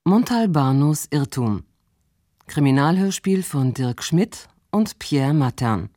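A male announcer reading scripted radio play credits in a measured, formal way. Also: on the high side, very animated, dark tone, no echo, studio quality.

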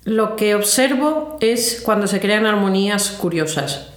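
A woman from talks casually in an interview. The recording is roomy, with clear echo around her words. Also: mid-range voice, animated, measured pace. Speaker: interviewee, musician. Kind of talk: casual chat. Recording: echoey room interview.

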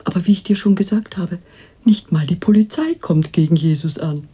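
An older woman playing a cooing, pious, boastful fortune-teller and former madam in a radio drama, with a theatrical, husky delivery. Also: fairly low pitch, moderate pace, warm tone. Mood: boastful, insistent.

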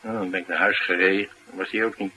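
An actor playing a streetwise, talkative driver, confiding and musing in a colloquial radio drama style. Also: male voice, sits low, level tone, quick pace, sharp tone, breathy edge, heavily acted. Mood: brooding, puzzled. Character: streetwise, talkative male driver, wry and brooding. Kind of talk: naturalistic radio drama acting. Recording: noisy radio drama recording.

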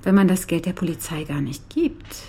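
A woman's voice: lowish voice, normal speed, warm tone. An actress playing a scheming, seductive, jealous woman in a German crime radio play, coaxing and taunting, often dropping close to a whisper. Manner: coaxing, taunting, drifts into breathy near-whispers. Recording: music bed behind the voice.

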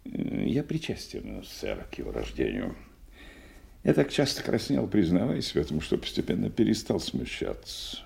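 Deep, gravelly, worldly nobleman. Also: wildly swooping pitch, moderate pace, darkish tone, heavily acted. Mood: wry, genial. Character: worldly, jovial middle-aged nobleman, frank father. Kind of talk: theatrical audio play acting.

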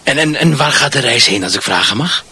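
An actor playing a bewildered, meekly polite husband losing his grip in a radio drama, muttering haltingly and repeating himself. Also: mid-range voice, lively intonation, sharp tone, breathy edge, exaggerated voice. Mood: polite, uneasy.

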